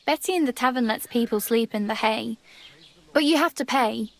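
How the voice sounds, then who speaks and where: A woman's voice: a little high, normal speed, vocal fry. An actress playing a frank, teasing and helpful young village woman in a video game. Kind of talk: video game character dialogue.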